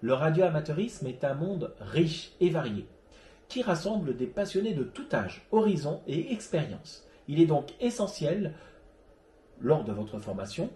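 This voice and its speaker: A man's voice: a little high, dark tone. A man hosting a web show, reading a scripted presentation to viewers in an earnest, didactic way. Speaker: host of an amateur radio web show. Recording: roomy, echoey sound.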